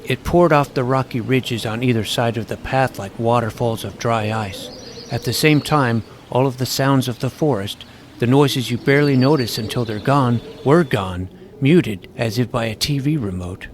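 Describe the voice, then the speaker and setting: A man's voice: medium pitch, moderate pace, background noise, natural voice. A man playing an earnest, awkward boy who tells his fantasy adventure in wordy, vivid first-person narration. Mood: recounting, uneasy. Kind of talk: first-person scripted storytelling in fantasy audio drama. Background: prominent music.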